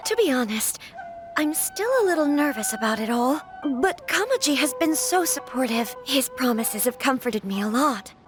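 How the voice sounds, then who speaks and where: A woman's voice: high voice, expressive, moderate pace, over music. A woman voicing a poised young noblewoman in a video game, speaking in a courteous, formal way. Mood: gracious.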